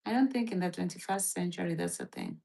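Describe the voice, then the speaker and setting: A woman's voice: on the low side, fast, mellow. A woman chatting casually on a live stream, rambling with lots of fillers as she thinks aloud and reads from a search. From Philippines. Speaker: livestream host.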